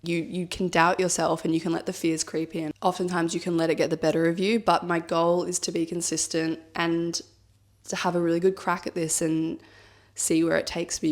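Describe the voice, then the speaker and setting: A woman in her thirties with a cold, sounding a little husky, talking reflectively in a personal vlog with many "like" and "I guess" fillers. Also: lowish voice, a little mellow. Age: 30s. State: has a cold, slightly husky. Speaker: vlogger.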